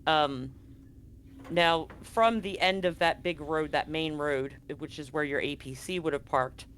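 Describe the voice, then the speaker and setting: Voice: mid-range voice, a little slow, a bit bright, a little hiss or hum. A game master narrating a live-streamed tabletop roleplaying game in an informal, conversational way, thinking aloud.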